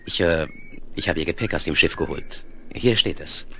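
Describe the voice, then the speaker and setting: An actor playing a crafty, daring, smooth-talking photo reporter in a 1970s German radio play. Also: male voice, very deep voice, moderate pace, pushed and bright, slight background noise, full character voice. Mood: persuasive.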